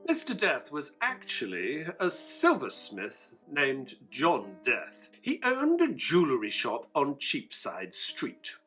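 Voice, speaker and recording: A man playing an earnest, excitable Victorian gentleman, a detective's companion and narrator, in an audio drama. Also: medium pitch, slow, few pauses, faint music behind, heavily acted. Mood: inquisitive.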